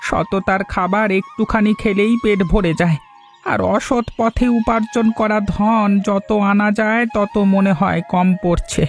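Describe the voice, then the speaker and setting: An actor voicing a humble older village father in a cartoon, gentle and moralizing. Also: sits high, steady tone, unhurried, faint music behind. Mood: content. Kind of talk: cartoon voice acting.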